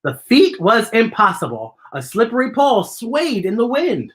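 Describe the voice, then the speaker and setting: A woman's voice: on the high side, very animated, normal speed. A woman hosting a children's story time, reading a picture book aloud in a playful, theatrical way with chatty asides to the young listeners.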